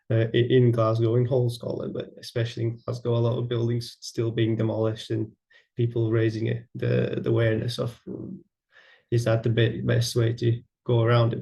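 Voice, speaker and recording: A young man from Latvia presenting his architecture project, halting with many fillers and restarts. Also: medium pitch, creaky, dark tone. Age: young adult. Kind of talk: online presentation.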